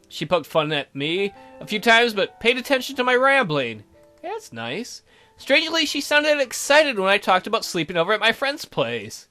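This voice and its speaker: A man's voice: high voice, pushed and bright. A man reading a visual novel game aloud as a let's play host, with crude, irreverent asides.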